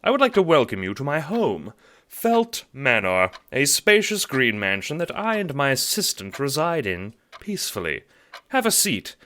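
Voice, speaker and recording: A man's voice: medium pitch, wildly swooping pitch, moderate pace, sharp tone. A man playing a genteel, smug, theatrical guardian villain in an audio drama, speaking in a pompous, wordy and affectedly courteous way. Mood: smugly courteous. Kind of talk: audio drama monologue addressed to the listener.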